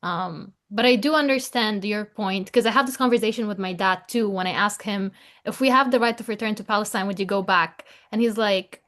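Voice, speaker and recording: A young woman from Lebanon hosting an interview podcast, soft and thoughtful, often agreeing as she listens. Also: medium pitch, brisk. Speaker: podcast host. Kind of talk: casual interview.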